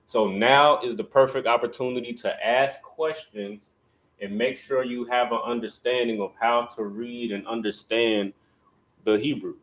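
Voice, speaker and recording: A man's voice: medium pitch, steady tone, a little slow, a bit bright. A man leading a livestreamed religious language class. He talks casually and addresses viewers directly, often saying "you know what I'm saying" between instructions.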